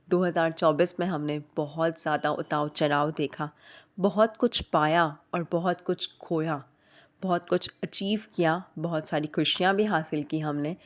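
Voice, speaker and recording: A woman narrates a motivational vlog in a slightly low voice. It sounds heavy and hoarse, as with a sore throat. She talks earnestly and directly to her listeners. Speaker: vlog host.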